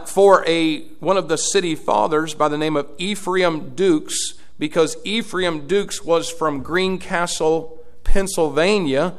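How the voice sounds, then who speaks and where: A man's voice: on the high side, a bit bright, smooth. A man, preaching a sermon as pastor, his delivery swelling and dropping in volume. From Indiana, USA.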